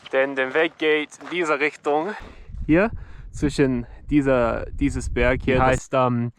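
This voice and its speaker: A man whose native language is English, talking haltingly with many fillers as he vlogs from a mountain trail. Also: mid-range voice. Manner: halting non-fluent speech with many fillers. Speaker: hiking vlogger. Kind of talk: casual walking vlog. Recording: outdoor ambient noise.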